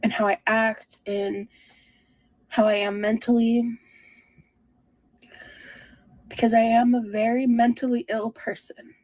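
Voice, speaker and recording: Mellow, hesitant woman, dry sound. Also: on the high side, little pitch movement, no echo, low-quality recording. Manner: hesitant, halting, introspective. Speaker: vlogger. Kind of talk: confessional personal talk.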